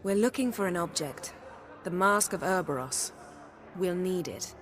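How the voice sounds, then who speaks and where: An actress playing a secretive sorceress in a video game, clipped, poised and commanding. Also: female voice, on the low side, lively intonation, unhurried, heavy background noise. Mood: coolly secretive. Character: cool, imperious, secretive sorceress.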